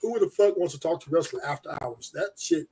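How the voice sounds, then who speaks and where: A man's voice: a little high, lively intonation, brisk, warm tone. A man on a casual live stream, blunt and rambling, who swears freely.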